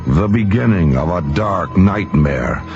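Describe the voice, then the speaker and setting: A man delivering dramatic, documentary-style scripted narration for a radio drama, portentous and deliberate. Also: low-pitched, expressive, music in the background. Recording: muffled radio drama recording.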